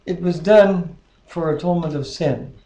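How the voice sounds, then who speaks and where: A man's voice: fairly high pitch, normal speed, dark tone. A man in his sixties with a North American accent, reading scripture and praying aloud in a living room. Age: 60s. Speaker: co-host of a home Bible reading. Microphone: webcam at about arm's length. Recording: echoey living room webcam audio.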